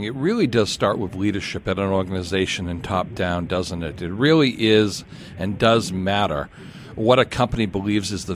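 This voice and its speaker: A man hosting an interview show, introducing guests and asking them questions in a lively, sing-song way. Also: fairly low pitch, a little hiss or hum. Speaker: radio show host. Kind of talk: hosted business interview.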